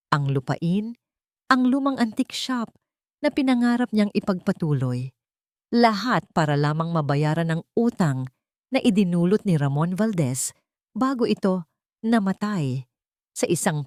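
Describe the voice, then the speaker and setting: A woman storyteller reading a scripted, dramatic narration with measured phrasing. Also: medium pitch, a little mellow, no echo, clean studio sound.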